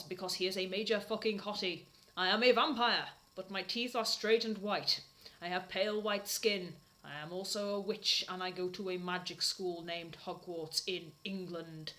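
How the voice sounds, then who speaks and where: Melodramatic teenage goth vampire girl, acted by a woman, defiant and self-absorbed, bright forceful delivery for comic fan fiction reading. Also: mid-range voice, lightly acted. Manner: declamatory, reads misspellings and punctuation literally.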